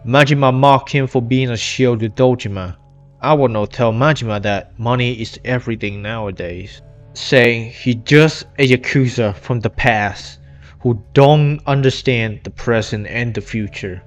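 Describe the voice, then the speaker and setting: A man's voice: mid-range voice, measured pace. A man reading scripted narration, retelling a video game's story as a voice-over. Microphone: amateur inexpensive microphone voice-over. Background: faint music.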